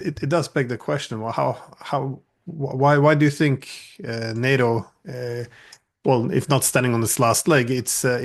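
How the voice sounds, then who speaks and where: A man hosting an interview, asking long questions with many fillers and restarts. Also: mid-range voice. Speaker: host. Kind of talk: interview conversation.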